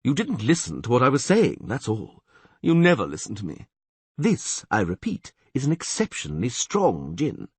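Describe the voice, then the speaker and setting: A man plays a smug bald priest who lectures and gloats like a know-it-all. His voice is husky and very lively in pitch, read dry and close. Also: moderate pace, no echo. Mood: scolding.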